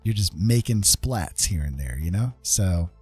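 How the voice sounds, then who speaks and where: A man's voice: low voice, animated, a little slow, mellow, slightly rough, soft background music. A man talking casually and informally through a live digital art tutorial, often checking in with small questions as he goes. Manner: easygoing, informal, tags points with check-in questions. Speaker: digital art streamer and instructor.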